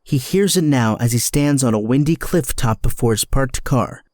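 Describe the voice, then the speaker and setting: A man's voice: mid-range voice, moderate pace, mellow, dry recording. Dry-sounding male drama narrator.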